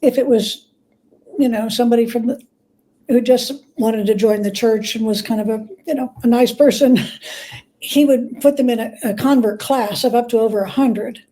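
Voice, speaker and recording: High-voiced, mellow woman guest. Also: fairly even intonation, normal speed, poor audio. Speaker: guest, biographer. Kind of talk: conversational interview storytelling.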